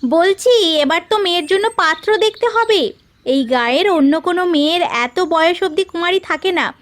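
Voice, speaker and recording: A woman's voice: very high voice, expressive, moderate pace. A woman playing an insistent, chiding wife and mother in a cartoon story. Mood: nagging. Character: nagging, practical wife and mother of a grown daughter. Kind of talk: Bengali cartoon story dialogue.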